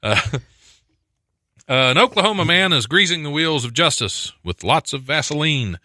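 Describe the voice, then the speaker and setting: A man's voice: fairly high pitch, animated, normal speed, bright, forceful tone, dry recording, studio quality. A man hosting a radio talk show, chatting casually, reading odd news stories aloud and laughing often at his own jokes. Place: radio studio.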